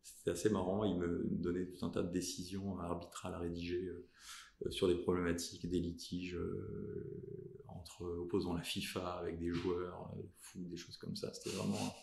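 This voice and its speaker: A man in his thirties from southern France talks through his career in an interview, stopping often to hunt for words and start over. His voice sits very low and gravelly. The room around him echoes noticeably. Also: level tone, a little mellow, slight background noise. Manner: halting, many fillers and restarts. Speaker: lawyer guest. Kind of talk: podcast interview, recounting career.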